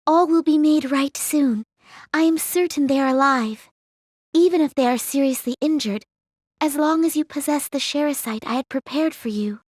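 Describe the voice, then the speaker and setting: A woman's voice: high-pitched, moderate pace, a little mellow, no room sound, clean studio sound, lightly acted. A woman voicing a composed, wise guide in an anime game, formal and serene. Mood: reassuring.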